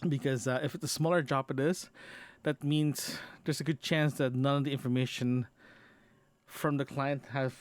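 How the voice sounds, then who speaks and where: A man's voice: mid-range voice, steady tone, moderate pace, no echo. A man chatting casually in a personal audio diary, rambling and often repeating and rephrasing himself. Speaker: podcast host.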